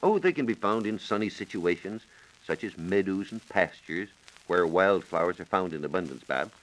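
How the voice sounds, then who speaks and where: An American man giving measured, precise instructions as a science reporter on a scripted 1940s radio program. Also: low voice, lively intonation, fairly bright tone, poor audio. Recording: 1940s radio broadcast recording.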